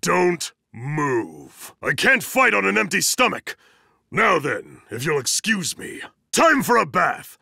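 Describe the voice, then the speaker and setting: An actor playing a huge, stern, zealous student enforcer in an anime fighting game, booming and bombastic, with formal, emphatic delivery and a gravelly, forceful voice. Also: male voice, huge pitch swings, slow, dry recording, studio quality, heavily acted. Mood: courteous, composed.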